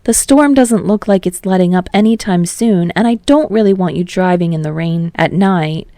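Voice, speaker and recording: A woman voicing a considerate mother of a teenager in an audiobook story, speaking gently, casually and reassuringly. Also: lowish voice, normal speed, no echo, clean studio sound, lightly acted. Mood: gentle, concerned.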